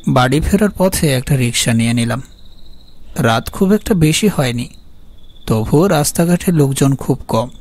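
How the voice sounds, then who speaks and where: A man's voice: mid-range voice, lively intonation, moderate pace, darkish tone, lightly acted. A man acting as a haunted, guilt-ridden young man, narrating his horror story in a brooding, fearful way. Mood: uneasy, suspenseful. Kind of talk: scripted dramatic horror narration.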